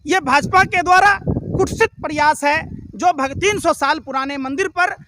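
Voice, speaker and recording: Very high-pitched, combative man arguing politics. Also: normal speed, noisy background. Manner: combative, indignant, firing rhetorical questions; emphatic, repetitive oratory. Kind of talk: heated political argument and speech.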